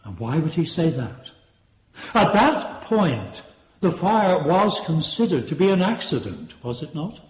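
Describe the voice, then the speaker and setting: A man's voice: on the high side, sing-song, a little slow. An actor playing a stern, sceptical male Victorian prosecuting barrister in a radio courtroom drama, questioning formally and pointedly. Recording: roomy, slightly muffled courtroom sound.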